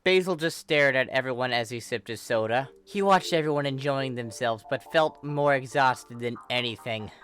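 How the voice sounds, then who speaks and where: An expressive reader narrating a comedic fan fiction story in an informal, wry and dramatic way. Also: mid-range voice, moderate pace, hardly any pauses, a little hiss or hum. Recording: background music under the voice. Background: video game style music.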